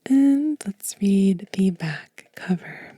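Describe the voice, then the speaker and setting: Woman, ASMR whisper, extremely mellow tone, gentle, unhurried, close dry mic. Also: mid-range voice, expressive, dry recording. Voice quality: breathy, whispery, hushed. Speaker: ASMR creator and host. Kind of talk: soft-spoken ASMR show and tell, partly reading aloud. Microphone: close microphone.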